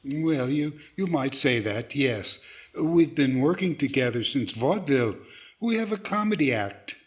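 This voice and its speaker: A man's voice: mid-range voice, animated, a little slow, muffled recording, close to an everyday voice. A man playing a polite, slightly hesitant straight man in a 1940s-style radio comedy. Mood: placating. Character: mild, placating straight-man ventriloquist, adult male employer.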